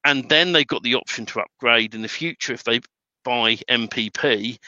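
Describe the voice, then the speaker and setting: A middle-aged British man with a very bright, forceful voice, chatty and prone to repeating words as he gets going. The audio is dry and slightly dull, lo-fi. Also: mid-range voice, normal speed, no echo. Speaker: webinar guest, template trainer. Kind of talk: casual chat.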